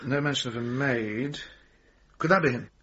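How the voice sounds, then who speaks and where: A man's voice: mid-range voice, a bit bright, close to an everyday voice. A man as a fussy records keeper, muffled recording. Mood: cautious, apologetic. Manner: halting, muttering, chatty. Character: helpful, fussy male local records keeper, mildly cautious. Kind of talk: naturalistic radio drama acting. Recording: dull lo-fi taped broadcast, hiss.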